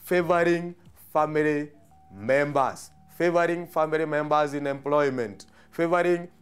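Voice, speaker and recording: A man's voice: fairly high pitch, a little slow, smooth, soft background music. A man with a Kenyan accent teaching a social studies lesson on television, explaining terms step by step and repeating key phrases, with frequent hesitations.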